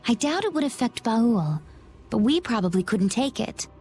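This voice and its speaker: An actress voicing a calm, poised young woman in a video game, polite and observant. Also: on the high side, normal speed, faint music behind. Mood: thoughtful.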